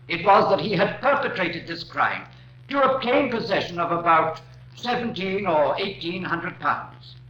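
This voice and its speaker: Barrister, impassioned, high bright voice, formal oratory, old radio crime drama. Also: male voice, full character voice. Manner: formal, rhetorical, emphatic. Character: impassioned Victorian defense barrister addressing a jury. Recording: dull, noisy old radio recording, roomy.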